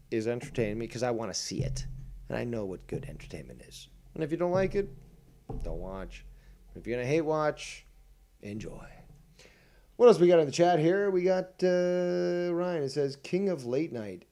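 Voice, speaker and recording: A man runs his own livestream from behind a desk mic, pitched right in the middle. He talks in a combative, mocking way and swears freely. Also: lively intonation, normal speed, warm tone. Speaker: streamer host. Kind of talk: livestream commentary.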